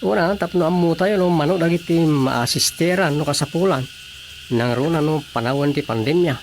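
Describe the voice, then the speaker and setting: A man's voice: on the high side, moderate pace, slight background noise, natural voice. A man acting as an easygoing village councilman in a radio drama comedy, muttering with hesitant interjections. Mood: conversational. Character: male village councilman, casual, muttering, easygoing local official.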